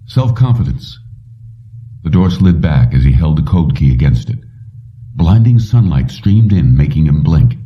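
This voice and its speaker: A man's voice: very deep voice, lively intonation, moderate pace. A man reading science fiction prose aloud as a scripted audiobook narration. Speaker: audiobook reader. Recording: muffled, dull, distant-sounding.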